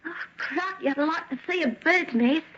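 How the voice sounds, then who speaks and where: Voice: high voice, moderate pace, close to an everyday voice. A performer playing a small working-class boy, polite, innocent and eager, in an old radio drama. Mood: eager, curious. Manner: respectful, simple, slangy. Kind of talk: golden age radio drama acting. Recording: dull, noisy vintage radio recording.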